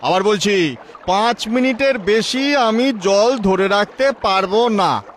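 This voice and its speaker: Man voicing an earnest high-pitched young fisherman. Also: slow pace, slight background noise. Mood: earnest instructing. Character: earnest young fisherman brother with magic power.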